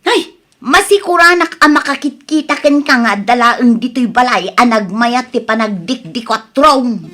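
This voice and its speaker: Woman playing a nagging village wife, pleading and hard up, Ilocano accent, high voice, comedy radio drama. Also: measured pace, a bit bright. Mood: nagging, exasperated.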